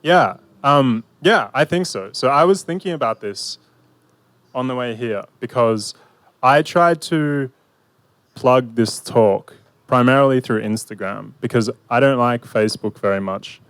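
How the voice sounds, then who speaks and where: Young male facilitator, smooth dry voice, hesitant and reflective, handheld mic, outdoor talk. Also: mid-range voice, unhurried, a bit bright, no room sound. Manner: thoughtful, many fillers and restarts. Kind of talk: hesitant panel discussion. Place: outdoor park pavilion.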